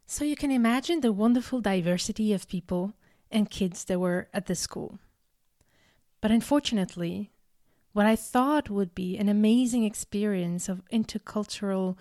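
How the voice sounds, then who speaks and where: Woman, 30s, from Algeria, delivering a personal talk; earnest and deliberate, warm timbre, dry recording. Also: mid-range voice, no room sound. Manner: earnest, deliberate, with emphatic repetitions. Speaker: speaker giving a talk. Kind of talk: prepared personal storytelling speech.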